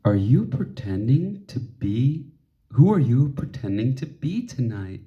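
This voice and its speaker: A man's voice: medium pitch, very animated, unhurried, muffled recording. A man reading the part of a concerned, practical mother in a storybook, questioning and reasoning. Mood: curious. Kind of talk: storybook character dialogue.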